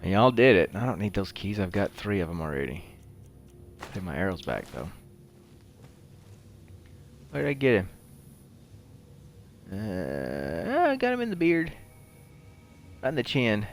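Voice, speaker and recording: A man with a head cold giving casual, folksy gameplay commentary and rambling off into nerdy anecdotes. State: head cold, developing laryngitis. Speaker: gameplay video host. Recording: clear low rumbling background noise.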